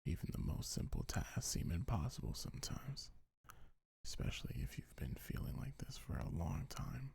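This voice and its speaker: A man speaking gentle, soothing ASMR affirmations in a breathy, husky half-whisper, unhurried and close to the microphone. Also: medium pitch, big pitch swings, dry recording. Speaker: ASMR creator. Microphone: close microphone, dry.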